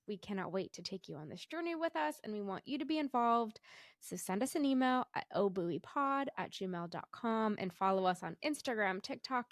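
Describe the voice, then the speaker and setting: A woman co-hosting a casual podcast, upbeat and quick to laugh. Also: mid-range voice, no echo, studio quality. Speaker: podcast co-host, former cruise director.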